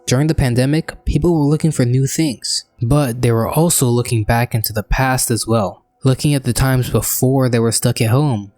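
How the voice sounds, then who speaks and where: A man narrating a scripted video essay in a measured, explanatory manner. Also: medium pitch, darkish tone, dry recording.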